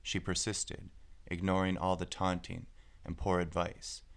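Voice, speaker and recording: A man narrating a picture book aloud, phrasing it clearly with deliberate pauses. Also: deep voice, flat delivery. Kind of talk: scripted storybook narration.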